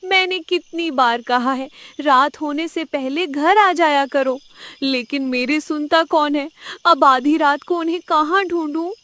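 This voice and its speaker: A woman's voice: high-pitched, unhurried, sharp tone. A woman playing an anxious, tender mother goat in a cartoon fable, with an earnest, pleading manner. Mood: worried, pleading. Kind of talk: Hindi cartoon story acting.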